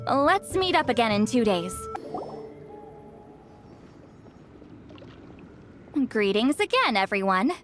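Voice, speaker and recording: A spry, wise older granny from a video game. Fairly high in pitch, the voice has a knowing, wry assurance, like someone used to telling tales, over soft background music. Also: female voice, sing-song, moderate pace. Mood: calm, knowing.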